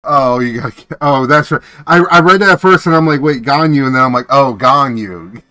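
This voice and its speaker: A man livestreaming a game with casual, playful, joking commentary and animated reactions, close on a streaming mic. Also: medium pitch, normal speed, no echo.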